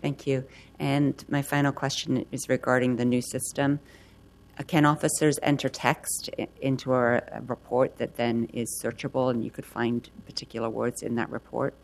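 A council member asking questions and giving remarks at a hearing in a measured way. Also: mid-range voice, level tone, a little hiss or hum, low-quality recording.

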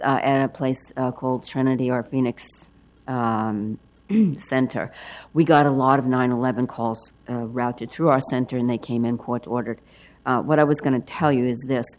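An older woman with a hoarse, froggy voice giving rambling public comment at a council hearing. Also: rumbling low. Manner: rambling, chatty. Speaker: public testifier.

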